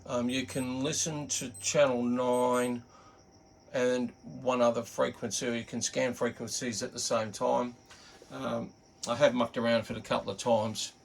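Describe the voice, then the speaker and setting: A middle-aged or older Australian man giving a casual, unscripted product walkthrough in a laid-back, halting, rambling way. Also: mid-range voice, level tone, creaky, slight background noise, poor audio. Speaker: hobbyist radio reviewer.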